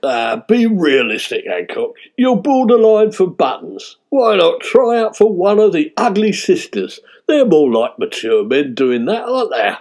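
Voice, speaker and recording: A man's voice: high voice, big pitch swings, unhurried, hardly any pauses, a little mellow, heavily acted. A man playing a wily, blunt, teasing theatrical agent in radio sketch comedy. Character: wily, blunt male theatrical agent, cheerfully needling and money-minded. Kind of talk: sketch comedy in the manner of 1950s British radio.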